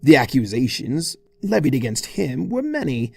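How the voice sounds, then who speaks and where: Male story narrator: arch, theatrical, very lively intonation, gravelly creak, scripted comic delivery. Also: medium pitch, moderate pace. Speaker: fan fiction reader.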